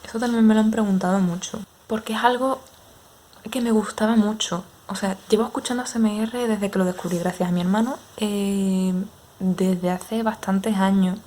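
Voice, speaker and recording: A young woman in her twenties with an Andalusian accent, answering viewers' questions in a relaxed, hesitant way with many fillers. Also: mid-range voice, slight background noise. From Andalusia, Spain. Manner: unhurried, hesitant with frequent fillers. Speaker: ASMR creator. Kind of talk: casual Q&A chat with viewers.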